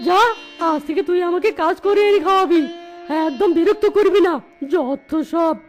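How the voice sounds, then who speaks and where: A woman's voice: extremely high pitch, normal speed, faint music behind. A woman voicing a lazy, rude male jackal husband in a children's cartoon, snapping and scolding. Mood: irritated.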